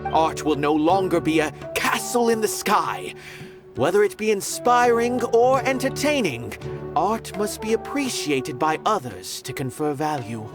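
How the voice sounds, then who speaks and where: A worldly, refined art connoisseur in a video game. He speaks in a measured, lofty manner with a lively, expressive pitch line. Game music plays clearly behind him. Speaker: former stage performer turned art critic.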